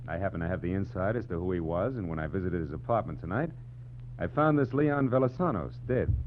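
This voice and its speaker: A deep-voiced tough American private eye, wry and clipped. He delivers wisecracks and cool narration in 1940s radio drama style. The old recording is narrow and muffled, with a faint hum. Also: expressive, fast. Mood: cool, sardonic. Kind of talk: 1940s radio detective acting, first-person narration.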